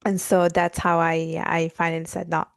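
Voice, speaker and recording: A woman's voice: on the low side, completely flat delivery, normal speed, gravelly, no room sound. A woman in her thirties from Italy, chatting as a podcast guest with lots of fillers like "like" and "you know.". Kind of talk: casual conversational interview.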